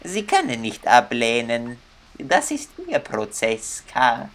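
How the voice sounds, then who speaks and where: A man's voice: mid-range voice, huge pitch swings, slow pace, fairly bright tone, slight background noise, heavily acted. A man voicing an eerie, insistent cosmonaut recruiter in a video game, drawing out his words. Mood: eerily insistent.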